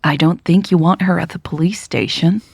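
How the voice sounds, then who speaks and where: A woman's voice: fairly low pitch, normal speed, gravelly, breathy edge, dry recording. A woman playing a tired, dry-witted woman, sighing and under pressure, in an audio drama. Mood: firm, dry. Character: Maya, weary dry-witted woman, pressured but loyal.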